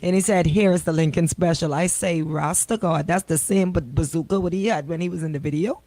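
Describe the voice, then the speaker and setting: A woman's voice: lowish voice, fairly even intonation, brisk, dark tone, no echo. A woman, a radio co-host chatting in a playful, teasing way. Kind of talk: bantering radio chat. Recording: noticeable background noise.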